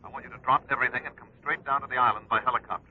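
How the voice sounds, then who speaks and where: An actor playing a secretive, authoritative boss in an old-time radio drama, giving clipped, urgent orders. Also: male voice, medium pitch, fairly even intonation, very fast, slightly rough, close to an everyday voice. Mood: urgent, commanding. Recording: dull lo-fi old radio recording.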